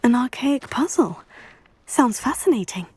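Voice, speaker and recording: A woman's voice: fairly high pitch, lively intonation, measured pace, darkish tone, husky, dry recording. A woman voicing a polite, curious young witch student in a video game, composed in manner. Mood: polite, interested. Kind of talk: video game dialogue acting.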